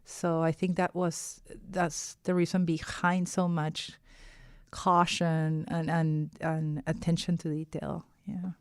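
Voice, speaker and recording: A woman's voice: deep voice, level tone, slow pace, clear, resonant tone, no room sound, studio quality. A woman from Peru with a Peruvian accent, speaking as an illustrator in a casual interview, phrasing her explanations carefully with repeats.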